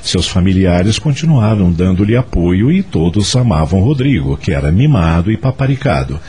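A man's voice: deep voice, big pitch swings, normal speed, dark tone, husky. A man with a Brazilian accent narrating a scripted radio drama.

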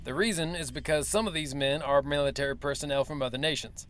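A man's voice: on the high side, quick pace, a bit bright, a little hiss or hum, natural voice. A man playing a formal army major. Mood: firm. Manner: formal, clipped and assured. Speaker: military officer.

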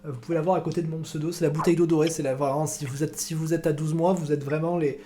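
A man's voice: fairly high pitch, fairly even intonation, fast talker, warm tone, faint music behind. A man hosting a casual football podcast, rambling, joking and repeating his words as he talks. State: recovering from illness. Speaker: podcast host. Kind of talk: casual chat.

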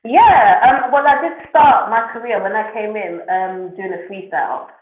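A woman, a musician answering interview questions in a relaxed way with many ums. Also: medium pitch, moderate pace. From Nigeria and England. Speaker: musician guest. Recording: echoey phone-like remote audio.

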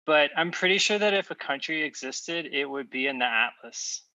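A man playing a meek, slow-witted fellow in improvised comedy, hesitant and apologetic. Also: a little high, expressive, pushed and bright, dry recording. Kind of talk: improvised comedy character acting. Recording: dull, slightly distant microphone.

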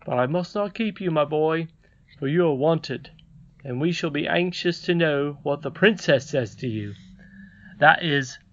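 A storyteller voicing a weary, kindly aging miner, a father who speaks gently and reflectively. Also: medium pitch, lively intonation, a little slow, dull audio. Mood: gentle, musing.

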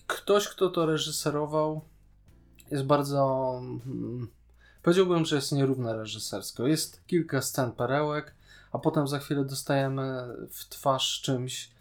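A man's voice: mid-range voice, fairly even intonation, a little slow. A man co-hosting a podcast, casually critiquing a series in an opinionated, sarcastic way. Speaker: podcast co-host reviewing a TV series.

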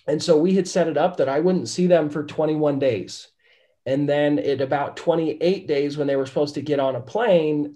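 Man, somewhat higher voice, raspy from being sick, candid, keeps asking "right?", podcast guest. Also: warm tone. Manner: candid, says "right?" often. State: raspy from illness. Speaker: podcast guest, real estate investor. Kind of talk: casual storytelling interview.

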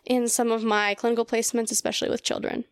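A woman's voice: on the high side, flat delivery, fast, no room sound. An American woman in her early twenties chatting informally as an interview guest, often dropping in "like". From Colorado, United States. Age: early 20s. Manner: informal, peppered with "like". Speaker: podcast guest, graduate student. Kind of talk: casual interview chat.

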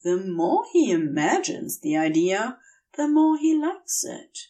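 Slow, expressive woman reading fiction. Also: medium pitch, distant mic. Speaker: podfic narrator. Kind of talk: scripted fiction narration.

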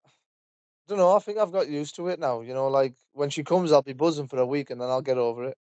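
A man's voice: medium pitch, quick pace, warm tone, dry recording. A man teasing his friends in a casual podcast chat over an online call. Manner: teasing, pushy banter. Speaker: podcast co-host. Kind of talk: casual banter. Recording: dull, dry online call audio.

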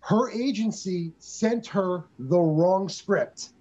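A man co-hosting a casual movie review, telling behind-the-scenes stories in an animated way. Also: sits high, slow, lo-fi sound. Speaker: podcast co-host.

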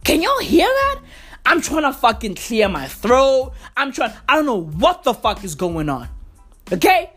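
A woman hosting a podcast in a loud, profane comedic rant, her voice going hoarse. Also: high voice, unhurried, faint music behind. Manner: loud, excitable, swearing constantly, slangy. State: hoarse, losing voice. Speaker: podcast host.

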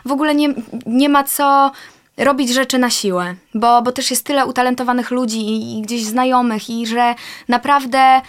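A woman's voice: fairly high pitch, steady tone, moderate pace, smooth. A young woman, an actress and singer, talking casually in an interview, fluent but full of fillers. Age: young adult. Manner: fluent, many fillers and self-corrections.

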